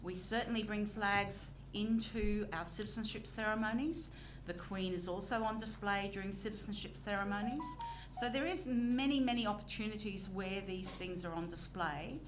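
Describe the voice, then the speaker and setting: An Australian woman chairing a council meeting, answering public questions in a measured, deliberate way with frequent pauses. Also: mid-range voice, faint music behind. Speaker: council presiding member. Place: old council chamber. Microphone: recording microphone. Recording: noisy chamber recording.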